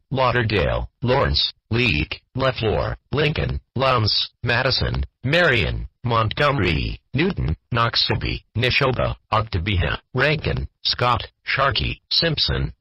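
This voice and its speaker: A man's voice: mid-range voice, sharp tone, slightly rough, no echo, muffled recording. A synthetic male text-to-speech voice with an American accent, reading a scripted emergency weather bulletin in a formal, stilted, segmented way. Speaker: automated weather alert announcer.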